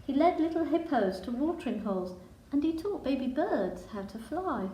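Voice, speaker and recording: A woman reading a children's picture book in verse with a measured, dramatic storytelling delivery. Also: sits high, big pitch swings, mellow, a little hiss or hum. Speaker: storybook reader. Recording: echoey room.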